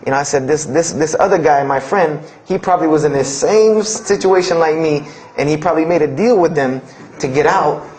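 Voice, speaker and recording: A man telling a story with animated delivery and loud quoted asides. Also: fairly high pitch, fairly quick, background noise.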